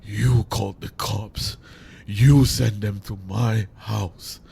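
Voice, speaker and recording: A man acting a grieving, unhinged father turned vengeful villain in a dramatic audio monologue, with menacing, anguished delivery and long pauses. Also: on the low side, fairly even intonation, creaky, warm tone, a little hiss or hum. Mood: anguished rage.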